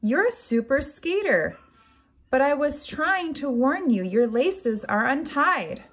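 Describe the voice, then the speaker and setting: A woman's voice: sits high, sing-song, slow pace, a bit bright, voice pushed up, heavily acted, low-quality recording. A woman voicing a big, friendly male wolf from a storybook, in an emphatic way. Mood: admiring. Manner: emphatic, calling out.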